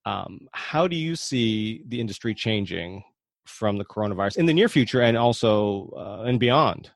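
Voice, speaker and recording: A man's voice: fairly low pitch, lively intonation, moderate pace, vocal fry, dry recording, clean studio sound. A man hosting a podcast and interviewing a guest in a casual, conversational way.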